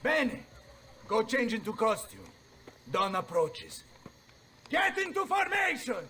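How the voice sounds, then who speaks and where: A proud, hot-headed Italian captain from a video game. His voice is pitched very high, forceful and swinging, with theatrical bluster and Italian words thrown in. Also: big pitch swings, takes it slowly, slight background noise, heavily acted. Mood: gruff, commanding. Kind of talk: dramatic video game cutscene acting.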